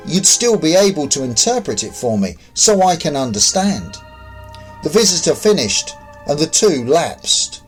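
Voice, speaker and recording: Animated male story narrator, fairly high voice. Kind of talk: scripted fiction narration. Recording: music behind. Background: music.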